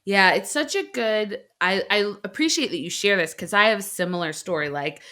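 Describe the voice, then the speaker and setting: A woman's voice: mid-range voice, normal speed, bright, forceful tone. A woman hosting a podcast interview, speaking in an affirming, reflective way. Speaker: podcast host. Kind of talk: warm interview conversation.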